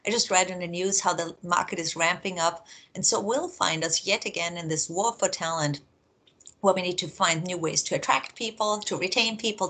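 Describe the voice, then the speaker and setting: A woman's voice: medium pitch, a bit bright. A middle-aged woman with an Austrian German accent, a research vice president giving an upbeat, explanatory prepared talk from her home office.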